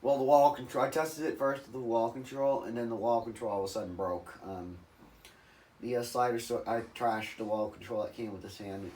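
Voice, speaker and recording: A man with a raspy voice rambling through a casual, off-the-cuff demonstration, correcting himself and losing his train of thought. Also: medium pitch, steady tone, brisk, darkish tone, distant mic, a little hiss or hum. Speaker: ceiling fan collector demonstrating a fan. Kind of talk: casual off-the-cuff video narration.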